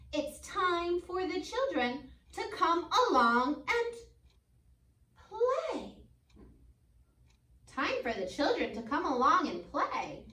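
A woman in her twenties doing an expressive, sing-song read-aloud for young children, as a teacher who asks questions and waits for answers. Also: very high-pitched. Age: 20s. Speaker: preschool teacher. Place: indoor school classroom. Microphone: camera a few metres away. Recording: echoey room, distant microphone.

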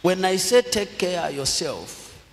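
A middle-aged man from Zambia, preaching a sermon slowly and deliberately into a handheld microphone, leading the congregation in prompts. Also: sits high, very animated. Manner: slow, deliberate preaching with call-and-response prompts. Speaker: pastor. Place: large church hall with congregation. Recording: long hall reverb.

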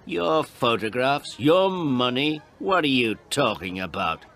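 Man performing a male photographer, scornful, challenging, quick to accuse, pitched up, storybook character. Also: very animated, fairly bright tone, full character voice. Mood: dismissive.

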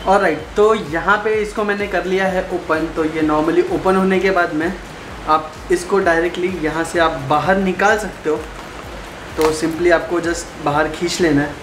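A man's voice: fairly high pitch, fairly even intonation, measured pace. A young man chatting casually through a step-by-step how-to for his vlog, recorded indoors at a desk on a camera mic. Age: young adult. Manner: chatty, explains step by step to viewers. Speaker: motovlogger. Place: small room indoors at a desk. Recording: roomy with clear background noise.

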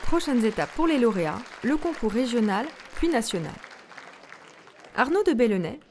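A woman's voice: on the high side, very animated, moderate pace, a little mellow. A woman reading a scripted report voice-over as narrator. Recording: music and crowd chatter behind. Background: music and crowd noise.

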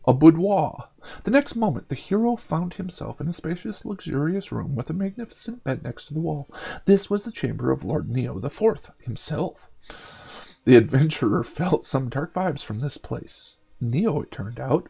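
A middle-aged man hosting a gaming show, reading a story aloud dramatically and dropping in wry, joking asides, with a gravelly, husky voice. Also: a little high, mellow.

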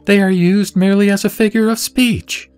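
A man playing the Buddha as a serene teacher, speaking in a measured, solemn way in an audio drama of scripture. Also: sits high, fairly even intonation, a little mellow, lightly acted. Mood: calm, instructive. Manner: measured, solemn, didactic delivery. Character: the Buddha, serene male teacher instructing a disciple. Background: background music.

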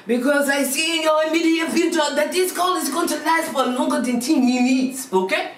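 A woman's voice: high voice, normal speed, lightly acted. A woman playing a brash, money-hungry Haitian TV psychic who sells her hotline in a bossy, theatrical, insistent way. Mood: mystical, businesslike. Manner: theatrical, bossy, insistent, repeats phrases for emphasis. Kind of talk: comedy skit of infomercial and call-in psychic show. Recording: echoey room, distant mic, music behind.

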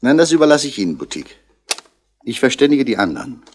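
An actor playing a middle-aged, dry-witted police commissioner in a radio crime drama, asking terse, probing questions in a husky voice. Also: male voice, medium pitch, very animated, fast talker, a little mellow. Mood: brisk, dry. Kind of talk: 1960s German radio crime drama acting.

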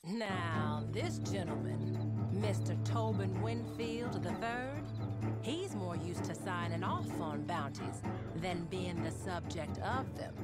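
A wry frontier woman with a low, unhurried American voice. She talks in folksy, colloquial western game narration. Loud music runs under her. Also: expressive. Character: folksy, world-weary frontier woman briefing bounty hunters.

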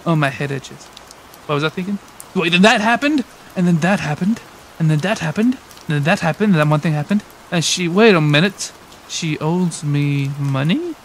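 A man voicing a grumpy, muddled, deadpan detective who mutters and repeats himself in a comedic amateur redub. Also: a little high, normal speed. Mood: dawning confusion. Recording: noisy, with rain behind.

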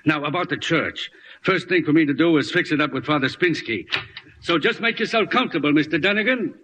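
A man's voice: on the high side, brisk, fairly bright tone, natural voice. A man playing a grasping, self-righteous small-town funeral director in old-time radio style, businesslike and hard-bargaining. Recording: noisy vintage radio recording.

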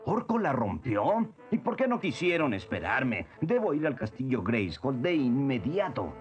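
A stern cartoon mentor in middle age, with a Latin American accent. His delivery is dramatic, with huge pitch swings around a medium register. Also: very animated, measured pace, muffled recording. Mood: stern, urgent. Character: exasperated, stern middle-aged male mentor. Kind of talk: cartoon dub acting.

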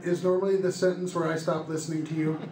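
A young man doing deadpan stand-up comedy in short one-liners into a handheld microphone on a club stage. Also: on the high side, fast. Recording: echoey club room with loud noise. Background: loud noise, audience laughter.

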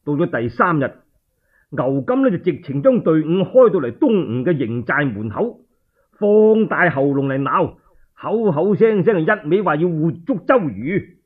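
Male Cantonese-accented storyteller, theatrical and emphatic, slightly thin lo-fi radio sound, husky voice. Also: a little high, big pitch swings, a little slow. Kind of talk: dramatic traditional oral storytelling, radio narration.

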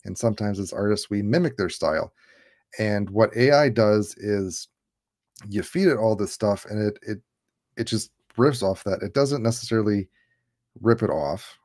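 A man in his thirties hosts a casual online chat from a room at home, talking through a headset. His voice sits a little low, and he keeps the talk loose and jokey, laughing often. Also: normal speed, a little mellow. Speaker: livestream host. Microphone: headset on a video call.

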